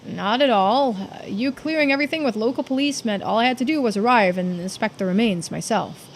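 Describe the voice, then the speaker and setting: A woman's voice: on the high side, slight background noise, lightly acted. Woman voicing a formal, diligent investigator's assistant. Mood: formal, serious. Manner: formal, measured, reporting. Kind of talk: voiced visual-novel dialogue.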